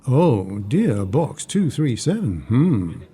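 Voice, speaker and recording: Man playing a mellow, wry shop clerk. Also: medium pitch, sing-song, normal speed, husky. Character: wry, kindly confidant, a male shop clerk. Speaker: actor. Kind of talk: radio theatre acting.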